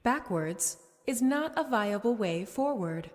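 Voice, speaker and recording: An eerily polite park announcer for a game. The voice is fairly high and formal, controlling in tone, washed in long reverb over faint music. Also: female voice, lively intonation, slow pace. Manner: formal, scripted. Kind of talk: public address announcement.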